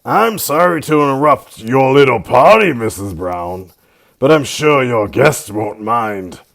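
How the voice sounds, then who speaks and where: Man playing a slow-talking, gravelly homicide sergeant. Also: medium pitch, expressive, fairly bright tone. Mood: probing. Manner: deliberate, authoritative questioning. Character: brisk, methodical male police homicide sergeant. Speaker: actor. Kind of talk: old-time radio drama acting.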